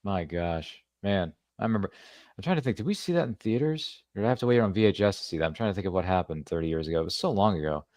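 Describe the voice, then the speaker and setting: A man's voice: deep voice, lively intonation, fast, darkish tone, no echo, studio quality. A man hosting a podcast interview, chatty and enthusiastic, heaping praise on his guest. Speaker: podcast host. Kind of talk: casual interview chat.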